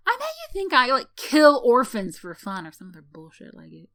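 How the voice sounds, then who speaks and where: A woman's voice: high-pitched, huge pitch swings, normal speed, sharp tone, no room sound, studio quality, exaggerated voice. A woman playing a sardonic tsundere villain in a roleplay ASMR, teasing and condescending with theatrical sighs and scoffs, yet secretly caring. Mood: cynical, earnest.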